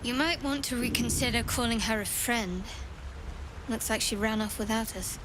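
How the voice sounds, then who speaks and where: An actress voicing a guarded, grateful adventurer in a video game, speaking in a measured, earnest way, with ambient noise behind. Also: female voice, fairly high pitch, natural voice. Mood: wary. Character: guarded, grateful female adventurer who survived a crash.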